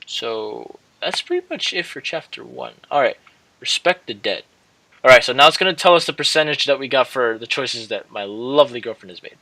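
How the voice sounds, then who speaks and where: A man's voice: mid-range voice, lively intonation, brisk, bright, forceful tone. A man hosting a casual let's-play, reading game text aloud and often stumbling and rereading as he goes. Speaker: gaming video host. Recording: clearly noisy home recording.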